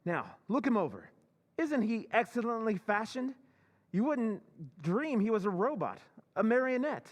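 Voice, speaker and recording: An actor playing a smug, self-assured scheming husband in an old-time radio drama recreation. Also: male voice, high voice, big pitch swings, normal speed, in a large hall.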